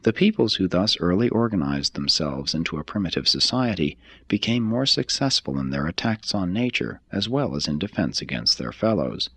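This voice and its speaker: A man reading a formal text aloud as scripted narration, in a measured, deliberate manner. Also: low voice, very animated, a bit bright, breathy edge, no echo. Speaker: audiobook reader.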